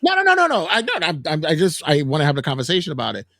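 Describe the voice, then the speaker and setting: A man hosting a casual wrestling news podcast, talking in an animated, joking way. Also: on the high side, very fast, gravelly, dry recording. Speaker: podcast host.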